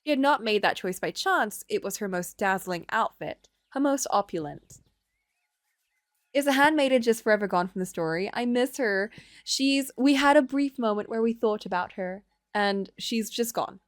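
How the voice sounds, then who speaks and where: A woman's voice: on the high side, expressive, moderate pace, no room sound. A woman livestreamer reading a visual novel aloud, moving between story narration and casual commentary.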